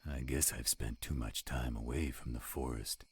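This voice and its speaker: A man voicing a gruff, protective werewolf with a husky voice in an audio roleplay. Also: rumbling low, fairly even intonation, moderate pace, no room sound, heavily acted. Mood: gruff, restless. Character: gruff, edgy male werewolf, protective of companions.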